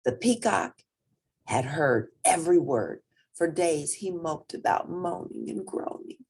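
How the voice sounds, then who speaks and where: A woman reading a children's picture book aloud as an expressive storyteller. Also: low-pitched, measured pace, slightly rough.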